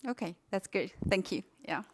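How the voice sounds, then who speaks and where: A woman's voice: medium pitch, expressive, normal speed, slight background noise. A woman hosting her own channel, chatting as she walks through the snow to a home and then asking interview questions. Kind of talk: vlog narration and interview questions. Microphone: handheld camera.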